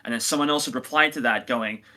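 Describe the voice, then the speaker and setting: Man, bright crisp-edged tone, medium pitch, podcast co-host, casual opinionated chat, emphatic. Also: fast talker. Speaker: podcast co-host reviewing audio dramas.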